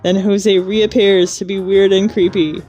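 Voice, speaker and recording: A woman's voice: medium pitch, flat delivery, unhurried, mellow, highs cut off, soft background music. A woman co-hosting a podcast, moving between scripted recap and casual banter in a wry, sardonic way. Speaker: podcast co-host.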